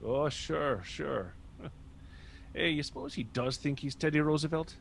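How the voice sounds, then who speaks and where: A man's voice: fairly high pitch, unhurried, creaky, slight background noise. A man acting in a radio play as an affable, plain-spoken police officer with a casual, rambling manner. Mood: affable, easygoing.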